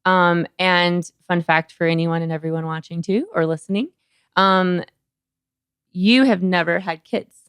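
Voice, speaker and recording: Low-voiced woman hosting a chatty podcast. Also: steady tone, a little slow, fairly bright tone, clean tone, dry recording, clean studio sound. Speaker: podcast host, OB-GYN doctor. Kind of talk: conversational podcast interviewing.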